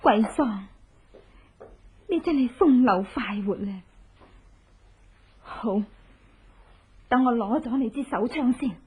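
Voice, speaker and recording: High-voiced, cunning vengeful wife. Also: female voice, expressive, takes it slowly, pauses often, a little mellow, breathy edge, exaggerated voice. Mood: gloating, vengeful. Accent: Hong Kong Cantonese. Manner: coaxing politeness turning to taunting menace. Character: cunning, vengeful young wife who drugs her enemies. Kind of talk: Cantonese radio horror drama acting.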